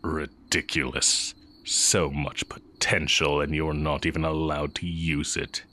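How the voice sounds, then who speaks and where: A man acting as a heretic sorcerer and former teacher with an extremely low voice. He speaks slowly and deliberately, leaving long pauses. His manner is sly, brooding and tender, played close and intimate as in a fantasy audio roleplay. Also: flat delivery, bright, forceful tone, full character voice. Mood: indignant. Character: exiled heretic male magic teacher, sly, brooding, tender dark mage.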